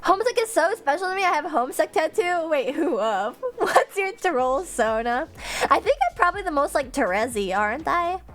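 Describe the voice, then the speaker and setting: High voiced girl streamer, woman w a stuffy nose, rambly slangy chat w viewers, some music behind. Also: big pitch swings, fairly quick, a bit bright. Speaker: streamer, VTuber. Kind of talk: casual livestream chat with viewers.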